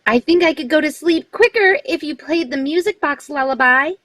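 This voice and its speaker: A woman voicing an excited little girl at bedtime in a live old-time radio play reading. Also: high-pitched, normal speed. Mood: pleading. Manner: childlike and pleading.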